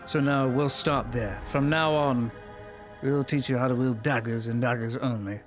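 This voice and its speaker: Ein Mann spielt einen kalten, fanatischen Vollstrecker. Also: male voice, mid-range voice, steady tone, moderate pace, faint music behind, close to an everyday voice. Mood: scornful. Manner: swings between soft whispers and sudden shouts; scornful, commanding. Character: cold zealous male imperial enforcer, menacing and contemptuous. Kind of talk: live roleplay in a virtual world.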